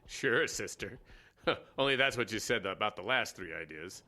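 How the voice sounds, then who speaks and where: An actor playing a cynical, weary boss of a 1940s radio network, speaking in a wry, blunt way in an audio drama. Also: male voice, fairly low pitch, lively intonation, moderate pace, pushed and bright, exaggerated voice. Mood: wry, sardonic. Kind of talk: audio drama acting, period radio dialogue.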